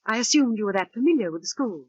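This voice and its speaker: An actress playing a resigned school housekeeper who gossips with feigned reluctance, in 1940s radio style. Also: female voice, on the high side, lively intonation, fast, a little mellow, husky. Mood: coy, resigned. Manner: hesitant, insinuating. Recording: dull restored 1940s radio recording.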